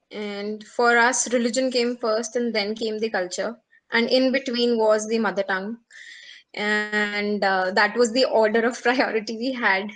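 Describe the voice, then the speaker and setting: A woman with a fairly high voice speaking as a panel guest. She talks in a measured, reflective way. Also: a bit bright, rough recording. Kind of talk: casual panel talk.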